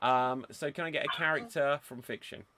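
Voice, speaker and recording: A man's voice: medium pitch, normal speed, background noise. A man hosting a live-streamed improv game over a video call, bubbly and teasing, and often laughing. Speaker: improv show host.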